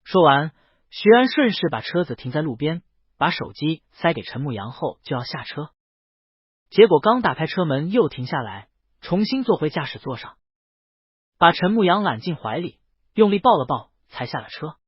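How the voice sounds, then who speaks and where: A man's voice: fairly high pitch, sing-song, moderate pace, slightly rough, no room sound, muffled recording. A man reads a scripted story as an audiobook narrator, voicing the dialogue himself.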